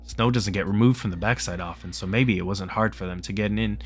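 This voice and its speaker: Man voicing a forceful, suspicious, argumentative guest. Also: on the low side, brisk, soft background music, natural voice. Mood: insistent. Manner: insistent, argumentative. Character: forceful, suspicious man pushing to confront a killer.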